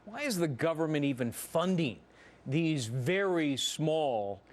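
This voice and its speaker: A man's voice: fairly high pitch, big pitch swings, slow. A man with an American accent presenting broadcast news and moderating a panel discussion as anchor. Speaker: news anchor and legal segment host.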